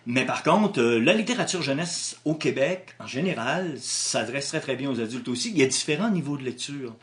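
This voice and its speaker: A man's voice: medium pitch, expressive, moderate pace, fairly bright tone. A man with a Québécois French accent, an author answering interview questions in a thoughtful way, with frequent restarts. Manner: thoughtful, with many restarts and hesitations.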